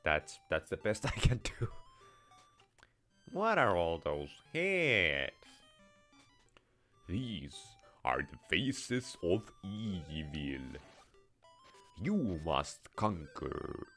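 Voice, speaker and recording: A man with a Finnish accent doing an impression of a dramatic young male game hero, delivering lines slowly and overblown. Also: sits low, big pitch swings, sharp tone, faint music behind, exaggerated voice. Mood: theatrical awe. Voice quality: slow, overblown line delivery.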